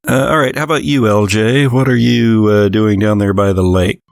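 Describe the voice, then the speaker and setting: A man with a low voice and noticeable vocal fry works as game master for a tabletop horror game. He sets scenes descriptively and conversationally, recorded close and dry. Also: steady tone, moderate pace, dry recording.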